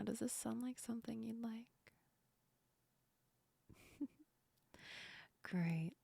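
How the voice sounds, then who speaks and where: A gentle female sleep demon acted by a woman whispers close to the mic in an intimate ASMR roleplay. Her voice is mellow and very breathy, with a creaky edge. She soothes and reassures slowly, affectionate and a little teasing. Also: medium pitch, no echo, full character voice. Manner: slow, soothing, reassuring, lightly amused. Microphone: close ASMR microphone.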